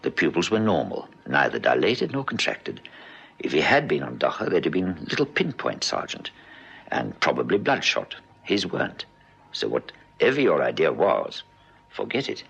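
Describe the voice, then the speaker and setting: A weary hospital doctor in a vintage radio drama. The voice is extremely low and gravelly, with big swings in pitch. He is brisk, clinical and drily facetious. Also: wildly swooping pitch, a bit bright, dull audio, exaggerated voice. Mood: firm, clinical. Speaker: actor in a radio police drama. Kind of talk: old South African radio drama acting.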